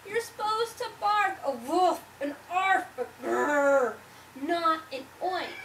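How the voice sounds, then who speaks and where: An exasperated storybook mother dog acted out by a woman, extremely high in pitch, wails and pleads with great drama. The voice sits far from the mic in an echoey room. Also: lively intonation, slow, a bit bright, heavily acted. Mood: wailing, dismayed. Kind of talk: children's storybook read-aloud. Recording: roomy, distant mic, faint background noise.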